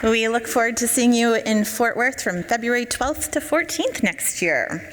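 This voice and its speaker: Brief: woman conference emcee, measured announcements, long hall reverb, faint noise. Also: fairly high pitch, fairly bright tone, a faint crowd. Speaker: conference host. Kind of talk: scripted stage announcements.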